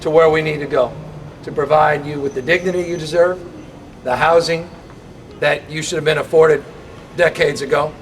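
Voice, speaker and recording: Measured man making a mayoral speech. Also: a little high, steady tone. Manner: measured, reassuring, level delivery. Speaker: mayor giving remarks. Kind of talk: public speech. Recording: distant mic with faint noise.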